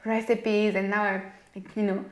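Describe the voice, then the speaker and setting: A woman's voice: medium pitch, level tone, normal speed. A young woman from Italy with an Italian accent, chatting casually as a podcast guest. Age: young adult. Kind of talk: casual chat. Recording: roomy, echoey sound.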